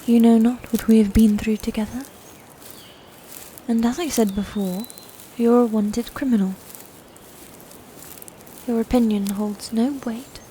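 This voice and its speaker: A woman playing a proud, bitter female knight in a fantasy ASMR roleplay, breathy and often near a whisper, with formal, commanding diction. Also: fairly high pitch, fairly even intonation, a little slow, pauses often, mellow, a little hiss or hum, lightly acted. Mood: irritated, bossy.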